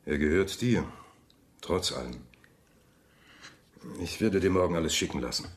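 An actor playing a wealthy, controlled husband in a German radio drama, speaking in a measured, polished way with pauses. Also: male voice, deep voice, animated. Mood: smooth, courteous. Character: wealthy suave older husband, controlled, wistful, condescending.

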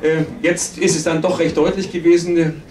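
Male press conference host, thirties, slightly high voice, very roomy sound. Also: little pitch movement, normal speed, a little mellow. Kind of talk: hosting a sports press conference. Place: indoor press conference room. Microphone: handheld microphone. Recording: echoey room.

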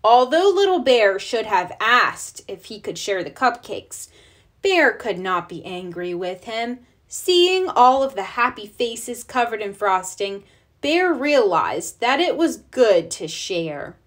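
A woman narrating a children's storybook aloud, expressively, with deliberate storytelling emphasis. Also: on the high side, big pitch swings. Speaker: storybook reader.

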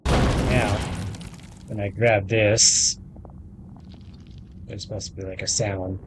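A man in his thirties with a low voice gives casual commentary on a video game. He talks in a halting, rambling manner, with faint noise behind him. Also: little pitch movement. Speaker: gaming video creator.

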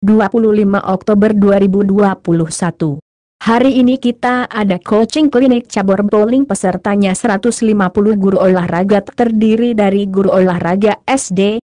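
A woman reading a scripted news voice-over in an even, read-aloud delivery on a close mic. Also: mid-range voice, no room sound. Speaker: news narrator.

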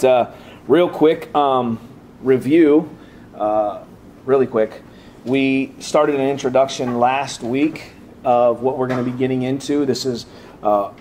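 Guy leading a church class, a bit raspy, mellow tone, lots of uhs, faint rumble behind. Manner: halting, many "uh" fillers and restarts. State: slightly raspy from preaching, clearing throat. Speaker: church teacher. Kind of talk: opening prayer and Bible class teaching.

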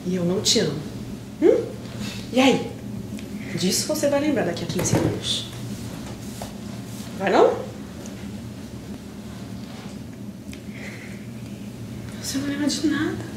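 A young actress with a Brazilian accent playing an emotional woman pleading with a long-lost friend in improvised theatre, speaking haltingly with pauses. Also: a little high, big pitch swings. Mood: hurt, indignant. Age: young adult. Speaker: stage actress. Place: indoor theatre stage. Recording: roomy, distant, noisy stage recording.

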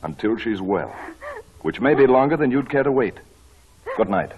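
An actor playing a well-bred, haughty, courteous young gentleman in 1940s radio drama style. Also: medium pitch, lively intonation, normal speed, a little hiss or hum, lightly acted. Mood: scornful. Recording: dull old radio broadcast recording.

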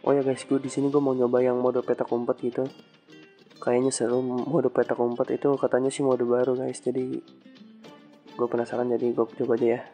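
Monotone, congested young gamer guy. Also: mid-range voice, fairly quick, faint music behind. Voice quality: stuffy, congested nose. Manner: slangy, frequent English exclamations. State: has a cold. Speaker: gaming channel host. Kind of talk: casual game commentary.

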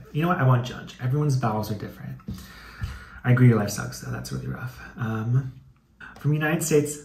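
A man's voice: medium pitch, creaky. Sassy man in an echoey room. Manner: sassy, campy, slangy and swearing. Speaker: YouTube host. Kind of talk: casual comedic dramatic reading with commentary.

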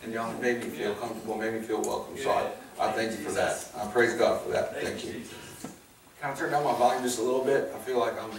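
A man's voice: fairly low pitch, fairly even intonation, quick pace, creaky. A man in his forties from Chicago, with a Chicago accent, sharing his personal testimony to a church crowd in a plainspoken, earnest way. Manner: casual, plainspoken, earnest, folksy. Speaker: guest speaker sharing testimony. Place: large hall with stage, indoors. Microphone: handheld or lapel mic, filmed by a far camera. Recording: roomy hall, distant mic, noisy.

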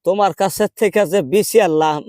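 A man acts out a quarrelsome old grandmother from a village, high in pitch and speaking rural Bangladeshi dialect. She complains and haggles stubbornly, as in a comic prank call, with a dry sound free of room echo. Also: brisk, darkish tone, close to an everyday voice. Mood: complaining. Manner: insistent, complaining, argumentative.

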